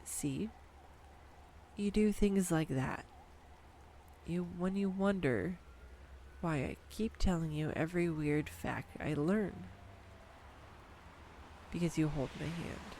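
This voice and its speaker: A woman voicing a nerdy, affectionate girlfriend who rambles excitedly about animal facts in an intimate audio roleplay. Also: low-pitched, slow pace, pauses often, slight background noise. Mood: fond. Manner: enthusiastic, rambling, tangent-prone, giggly. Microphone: studio USB microphone.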